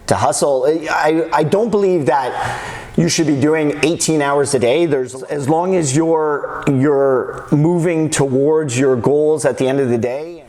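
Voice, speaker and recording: A man's voice: fairly high pitch, unhurried, a little mellow. A young man from Canada, a business owner talking casually in an interview, hesitant with many restarts.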